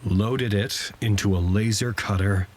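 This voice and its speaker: A man's voice: fairly low pitch, steady tone, unhurried, a little mellow, close to an everyday voice. An actor playing an intense, anxious male staffer of a secret facility in an audio drama, urgent and dramatic. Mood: sober recounting.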